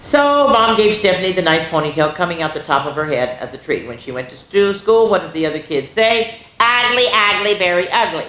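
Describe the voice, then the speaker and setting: A woman's voice: deep voice, sing-song, a little fast, few pauses, a bit bright. A woman hosting children's story time, reading a picture book aloud and breaking off for exclaiming asides to her listeners. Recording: roomy, echoing indoor sound.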